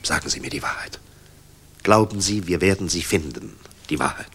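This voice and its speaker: A man's voice: sits low, animated, moderate pace, vocal fry, a bit bright, slight background noise, heavily acted. A man acting a weary, hard-driven general in a 1960s German radio drama, terse, urgent and wry. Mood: confiding, grave.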